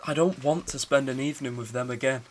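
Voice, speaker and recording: A man's voice: mid-range voice, fast, natural voice. A young man acting an exasperated, argumentative partner in an audio drama. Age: young adult.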